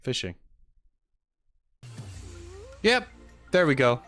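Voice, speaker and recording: A man's voice: medium pitch, huge pitch swings, very slow, a bit bright, soft background music. A man casually chatting and reacting on a livestream, with easygoing asides muttered to his viewers. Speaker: streamer reacting to game videos.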